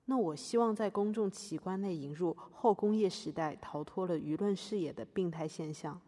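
A woman's voice: on the low side, moderate pace, mellow, natural voice. A woman playing a pretentious, self-regarding conceptual artist who speaks in lofty jargon as a defendant in a satirical courtroom audio drama. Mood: lofty, wounded. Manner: pompous, hesitant fillers, lofty jargon. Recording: reverberant hall sound.

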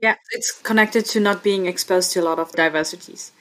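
A young woman from Austria speaking English with an Austrian accent, her first language being German, talking through her thoughts in a relaxed interview and laughing often. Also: lowish voice, a little fast. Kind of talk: casual conversation.

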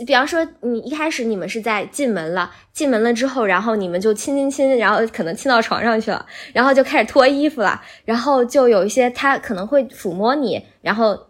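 A woman's voice, on the high side, bright and sweet. She speaks as a voice actor guest on a casual podcast, walking through her explanations step by step with examples. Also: lively intonation, brisk, hardly any pauses.

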